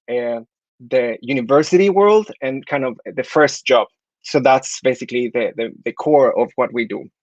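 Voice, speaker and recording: A man's voice: mid-range voice, a little mellow, no room sound, highs cut off. A man in his thirties from Chile, an architect giving portfolio tips in an online talk, hesitant, with many fillers and restarts. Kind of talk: online talk with slide review.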